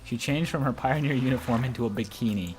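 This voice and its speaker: Male amateur game narrator, casual storytelling read, average pitch, faint music behind. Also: fast, poor audio. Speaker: narrator in a group playthrough. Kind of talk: amateur read-aloud narration of a visual novel.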